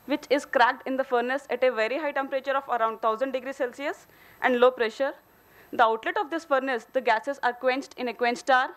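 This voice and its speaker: A woman's voice: sits high, a bit bright, rough recording. A woman with an Indian accent giving a formal technical presentation at a conference. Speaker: company engineer presenting a case study. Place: hotel conference hall, at a podium on stage.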